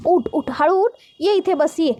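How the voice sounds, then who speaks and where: A woman voicing a gentle young husband who speaks hesitantly and earnestly, often trailing off. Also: very high voice, unhurried.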